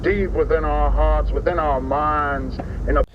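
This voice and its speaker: A man playing an ominous preacher in a horror video, delivering a dark sermon in a solemn, measured, formal manner. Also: a little high, fairly even intonation, lo-fi sound, noisy background, natural voice. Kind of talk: scripted sermon narration.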